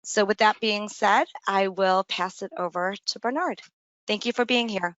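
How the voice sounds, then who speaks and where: A woman's voice: medium pitch, moderate pace, fairly bright tone, no room sound. A woman hosting an online business webinar and giving a practical introduction, on slightly dull meeting audio. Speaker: webinar host.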